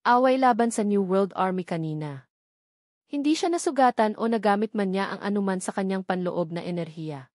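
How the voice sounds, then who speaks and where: A woman narrator reads a scripted novel aloud. Her pitch sits in the middle range for a woman. The sound is very dry, with no room around the voice. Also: darkish tone, no echo. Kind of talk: scripted audiobook-style narration of a web novel.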